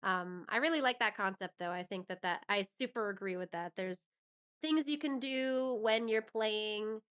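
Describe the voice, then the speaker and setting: A woman's voice: mid-range voice, moderate pace, fairly bright tone, clean tone. A woman speaking as an interview guest in a casual, enthusiastic and reflective conversational style. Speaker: interview guest, voice actress and performer.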